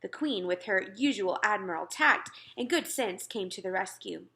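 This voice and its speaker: A woman reading a children's storybook aloud in an expressive narrating style. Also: medium pitch, moderate pace, creaky. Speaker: storybook reader.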